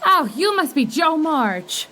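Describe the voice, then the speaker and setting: A woman's voice: high-pitched, big pitch swings, slow pace, bright, forceful tone, a little hiss or hum, exaggerated voice. A woman playing a welcoming, busy boarding-house mistress in an audio play, chatty and gracious. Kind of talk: audio drama acting.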